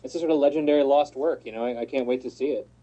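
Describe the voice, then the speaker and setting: A man's voice: mid-range voice, racing, mellow, muffled recording, slight background noise. A man in his thirties on a casual panel, talking eagerly and jokily, often stumbling over his words. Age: 30s.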